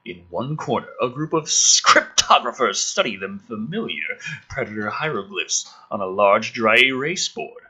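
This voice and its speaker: A man's voice: fairly low pitch, animated, normal speed, pushed and bright, breathy edge, poor audio. A man reading a script aloud with theatrical emphasis and incredulous, profane, exasperated commentary, as the host of a review show.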